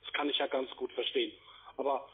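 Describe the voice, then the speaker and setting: Husky phone salesman, muffled line. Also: mid-range voice, steady tone, fast talker. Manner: wordy, repetitive, insistent. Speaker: telephone sales agent. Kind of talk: cold-call sales pitch. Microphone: telephone.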